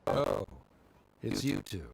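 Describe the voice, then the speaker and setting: A man voicing an awkward, reserved middle-aged father in a video game, speaking haltingly in a gravelly voice. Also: mid-range voice, exaggerated voice. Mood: awkward, hesitant. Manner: hesitant, halting.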